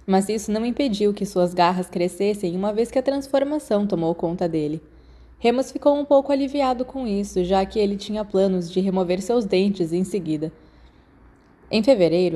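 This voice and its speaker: Audiobook narrator: Brazilian woman, mid-range voice, mellow delivery of scripted fiction. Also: medium pitch. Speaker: podfic narrator.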